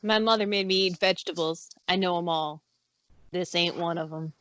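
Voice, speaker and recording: A woman playing a blunt, emotional soldier in a table read, with drawn-out, dramatic delivery. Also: fairly low pitch, a bit bright, lo-fi sound, slight background noise, natural voice. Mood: wry. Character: emotional, blunt soldier grieving a fallen buddy. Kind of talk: amateur screenplay table read.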